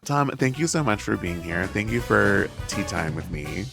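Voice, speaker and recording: A man's voice: on the low side, animated, moderate pace, vocal fry, music in the background. A man hosting a conversational interview podcast in an encouraging, affirming manner. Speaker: podcast host.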